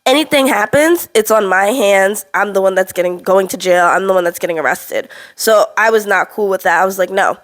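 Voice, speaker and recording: A woman in her twenties with a slightly stuffy voice from a cold, telling a personal story to camera in a candid, animated way. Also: medium pitch, brisk, hardly any pauses. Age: 20s. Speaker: vlogger.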